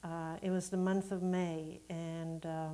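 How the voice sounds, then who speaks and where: A mature woman from Sweden whose first language is Swedish. Her voice sits a little low and she talks very slowly. She reflects on her life as an interview guest, choosing words with care. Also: level tone, warm tone. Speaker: guest, Unitarian Universalist minister. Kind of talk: reflective conversational storytelling in an interview.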